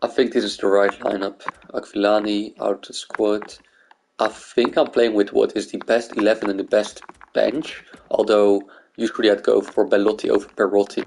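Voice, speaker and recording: A man from Greece talks casually through his video game, thinking aloud as he goes. His voice sits fairly low with a lot of creak. There is slight noise behind him. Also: level tone, normal speed, darkish tone, poor audio. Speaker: gaming video maker.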